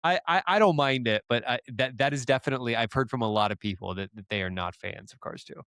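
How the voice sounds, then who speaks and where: A man speaking as a guest on a podcast, talking in a relaxed, rambling way with frequent restarts. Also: medium pitch, quick pace, smooth, no room sound, clean studio sound. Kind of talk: casual podcast interview.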